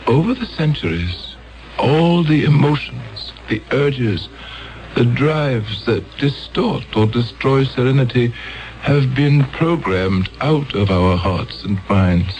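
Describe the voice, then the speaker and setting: A man's voice: medium pitch, animated, a little mellow, low-quality recording. An actor playing a grave male elder of a peaceful alien world in a 1970s radio drama. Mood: solemn. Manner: solemn, measured.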